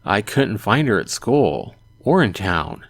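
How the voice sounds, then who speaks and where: A man's voice: lowish voice, very animated, slow, creaky, breathy edge, dry recording, heavily acted. A man playing an earnest, self-doubting teenage boy in a visual novel, speaking hesitantly. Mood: earnest, urgent. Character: earnest, self-doubting teenage son telling his story.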